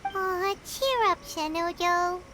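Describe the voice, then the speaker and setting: A woman voicing a small, chirpy, childlike fairy companion in an anime game, perky and blunt with a squeaky voice. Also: slow pace, pushed and bright, a little hiss or hum, full character voice. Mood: cheerful. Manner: perky, exclamatory, informal.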